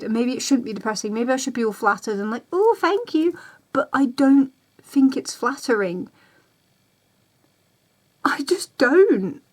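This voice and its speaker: Twenty-something girl ranting at her camera, a bit high voiced, goes round in circles. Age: 20s. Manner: chatty, repeats herself, voices other people's reactions. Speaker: vlogger. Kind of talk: casual ranting vlog.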